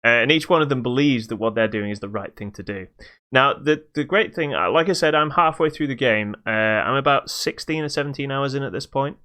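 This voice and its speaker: A man co-hosting a podcast, casually reviewing a video game in a halting but emphatic way. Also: medium pitch, bright, forceful tone, clear, resonant tone. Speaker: podcast co-host.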